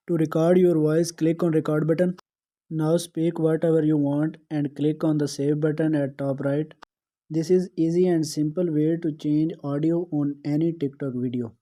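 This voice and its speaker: Flat, mellow tutorial narrator. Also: medium pitch. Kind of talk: scripted step-by-step narration.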